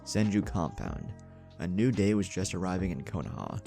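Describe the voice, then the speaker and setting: A man's voice: sits low, normal speed, warm tone, soft background music. A man narrating a scripted action story in a dramatic storytelling style.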